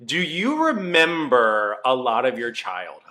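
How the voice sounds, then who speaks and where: A man's voice: mid-range voice, wildly swooping pitch, unhurried, pushed and bright. A man hosting an interview, playful and gushing, with sing-song intros and lots of "like". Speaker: interview host. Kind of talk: casual interview.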